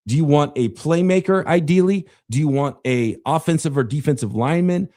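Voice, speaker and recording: A man hosting a live podcast about the draft, slightly raspy as he fights off a cough, talking casually while he runs a draft simulator. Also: a little high, brisk, warm tone. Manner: casual, thinking aloud while running a draft sim. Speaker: podcast host.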